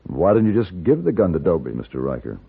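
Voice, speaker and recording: An actor playing a steady, courteous American gunfighter in a radio western, speaking and narrating in a measured, gentlemanly way. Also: male voice, heavily acted. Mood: probing, concerned. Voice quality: deep, gravelly. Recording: muffled narrow-band old radio, faint hiss.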